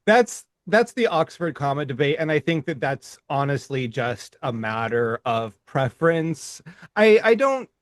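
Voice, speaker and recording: Podcast co-host, man, fairly high and very lively voice, quick to laugh, dry sound. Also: no room sound. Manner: laughs often, stammers on restarts. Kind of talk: casual conversation.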